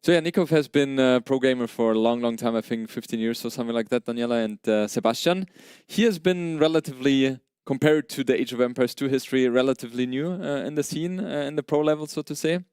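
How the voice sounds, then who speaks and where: Clear, conversational male esports commentator. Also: medium pitch, quick pace, darkish tone, no room sound, studio quality. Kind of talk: live tournament commentary.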